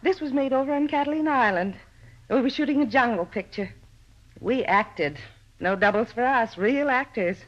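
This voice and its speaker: A woman's voice: on the high side, moderate pace, close to an everyday voice. A woman playing a talkative, vain older landlady who once acted, theatrical and self-important, in a radio drama. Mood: proud, nostalgic. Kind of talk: 1950s radio drama acting. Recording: muffled old-time radio recording.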